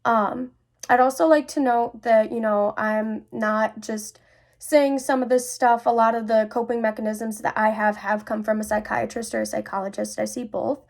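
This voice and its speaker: A woman's voice: a little high, steady tone, normal speed, vocal fry, a little mellow. A young woman in her twenties speaking casually and personally to viewers as a vlog host, in a reassuring, conversational manner. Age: 20s.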